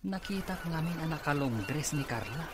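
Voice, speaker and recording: A man's voice: on the high side, animated, fairly quick, background noise, lightly acted. A man acting a gossipy, curious office worker in a radio comedy drama, with an Ilocano accent.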